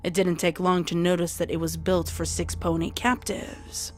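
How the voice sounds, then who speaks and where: A woman's voice: fairly low pitch, flat delivery, moderate pace. A woman giving a dramatic scripted narration of a story. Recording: music behind the voice.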